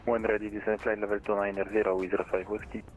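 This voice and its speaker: Male pilot, lowish flat voice over radio, brisk readback phrasing, music faintly behind. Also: lo-fi sound. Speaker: virtual pilot.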